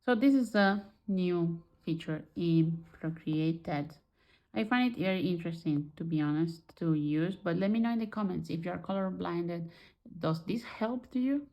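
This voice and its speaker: A woman who is not a native English speaker, chatting casually through a digital art software tutorial and often restarting as she searches for words. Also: fairly low pitch, moderate pace, muffled recording. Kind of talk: casual screen-recorded tutorial.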